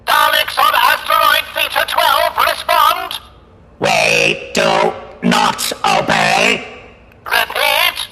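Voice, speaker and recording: A ruthless alien war machine barks staccato orders in a science fiction audio drama. The voice is piercingly high and electronically harsh, delivered at a slow, deliberate pace. It rings in a long reverb over loud music. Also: male voice. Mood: fanatical, urgent. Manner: barked, staccato commands. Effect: harsh electronic robot voice filter.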